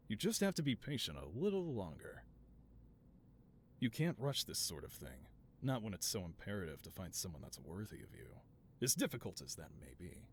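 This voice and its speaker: A man's voice: fairly low pitch, a little hiss or hum. A male voice actor playing an affable supervillain scientist boss in a scripted audio roleplay, talking in a doting, theatrical way. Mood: gentle, consoling. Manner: doting, theatrical, softly reassuring then grandiose. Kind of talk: scripted audio roleplay, one-sided conversation.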